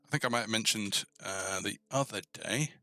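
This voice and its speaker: A man hosting a casual live coding tutorial, thinking aloud informally and tentatively, often pausing mid-thought as he works. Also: fairly low pitch, pushed and bright, no echo. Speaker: host of a coding tutorial stream.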